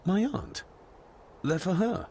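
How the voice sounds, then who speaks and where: An actor playing an earnest, polite and inquisitive young gentleman heir of the 1920s in a video game. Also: high-pitched, lively intonation, slow pace, a little hiss or hum. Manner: polite, questioning. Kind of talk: dramatic game cutscene dialogue.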